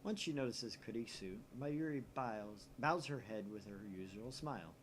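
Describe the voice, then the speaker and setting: A man's voice: medium pitch, animated, normal speed. A man reading visual novel narration aloud expressively as he plays, with some background noise. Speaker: video game player reading aloud.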